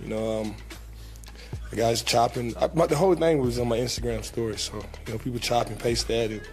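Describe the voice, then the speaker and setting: A young man speaks loosely into a table microphone in a team press room, circling back with fillers and repeated phrases. His voice sits at an ordinary male pitch, and a faint trace of music lies underneath. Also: fairly quick. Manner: relaxed, casual, many fillers and repeats. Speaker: pro football player at a press conference. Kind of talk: press conference answers. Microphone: table mic in front.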